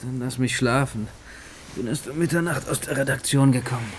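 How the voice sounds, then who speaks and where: A man's voice: mid-range voice, brisk, lightly acted. An actor playing a forward, teasing journalist talking intimately with his lover in a naturalistic radio drama. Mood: sleepy, grumbling. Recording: faint background ambience noise.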